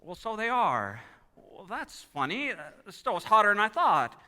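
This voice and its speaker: Agitated folksy everyman , played by a male actor, 1940s radio drama: stammering, swinging pitch, piercingly bright, live hall sound. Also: sing-song, moderate pace, heavily acted. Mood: dejected, bewildered. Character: agitated, bewildered small-town everyman wishing he was never born. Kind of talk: stage radio-play acting in 1940s manner. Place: theatre hall, live performance. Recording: live stage taping in reverberant hall.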